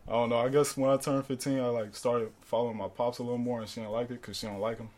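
A man's voice: mid-range voice, level tone, fast talker, a little mellow. Laid-back man chatting among friends. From born in Austria, raised in Pittsburgh. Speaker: podcast co-host. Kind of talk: casual group chat.

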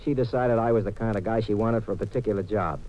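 A man's voice: fairly low pitch, quick pace, lightly acted. An American actor playing a streetwise, wisecracking young tough guy in a 1950s radio detective drama, with slangy, wry delivery. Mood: wry, cool. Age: young adult. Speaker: lead actor and narrator. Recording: muffled, noisy old radio recording.

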